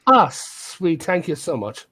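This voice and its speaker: A man's voice: on the high side, very animated, takes it slowly, sharp tone, breathy edge. A tired man chatting casually on a group voice call, joking and rambling a bit. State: tired late at night. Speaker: streamer and guest. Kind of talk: casual voice chat.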